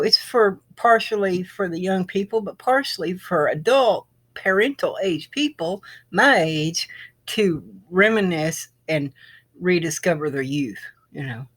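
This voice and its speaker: A middle-aged woman giving an informal, rambling explanation to viewers, often circling back to repeat her phrases. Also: lowish voice. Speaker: video creator.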